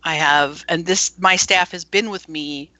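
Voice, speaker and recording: A talkative woman speaking as a guest in a casual interview, laughing easily as she tells how her radio station began. Her voice sits a little low yet rings bright and forceful. The sound is dry and close, with no room around it. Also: a little slow, no echo. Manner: chatty storyteller who laughs often.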